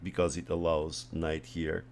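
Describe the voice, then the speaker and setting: A deep, unhurried man born in Portugal, whose first language is Portuguese, talks through his chess game for a small stream audience. He thinks out loud in a relaxed, casual way. His remarks often trail off into softer asides. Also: gravelly, a little hiss or hum. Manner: slow, thinking aloud, trails off into quiet asides. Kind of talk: casual live commentary over a chess game.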